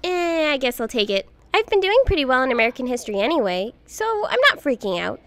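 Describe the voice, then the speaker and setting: A woman plays a perky teenage student with a high voice and animated intonation. She is teasing and friendly in a casual style of audio drama acting. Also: fast talker, full character voice.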